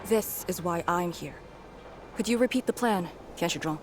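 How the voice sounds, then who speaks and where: A woman's voice: on the low side, normal speed, noisy background, close to an everyday voice. An actress playing a resolute, commanding woman in a video game story, with formal, declarative delivery. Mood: serious. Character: resolute, commanding woman leading a crisis team.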